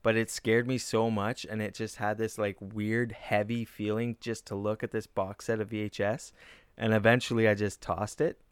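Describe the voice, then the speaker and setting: A man's voice: on the low side, little pitch movement, moderate pace, clean tone, no echo. A man, a comedian, talking casually in a reflective, earnest way. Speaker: comedian guest. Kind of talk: casual chat.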